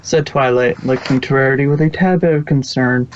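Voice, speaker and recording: A male amateur narrator reads a scripted story at a medium pitch. The recording is dull and lo-fi, like a home setup. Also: steady tone, brisk, a little hiss or hum.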